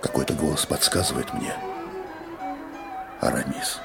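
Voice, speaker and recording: An actor in a radio play playing a weary, loyal musketeer captain, earnest and suspicious, with a dramatic, weighty delivery. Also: male voice, rumbling low, steady tone, measured pace, creaky, over loud music, heavily acted. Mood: earnest, wounded.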